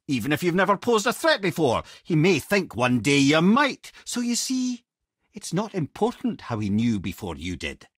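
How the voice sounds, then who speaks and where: An old, learned Scottish advisor from a fantasy video game. His voice is husky and swings expressively up and down as he spins tales with wry, colloquial eloquence. The sound is dry, with no room around it. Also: mid-range voice, fairly bright tone, no echo, heavily acted. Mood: earnest. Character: witty, learned old severed-head advisor and storyteller. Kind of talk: video game character dialogue and storytelling.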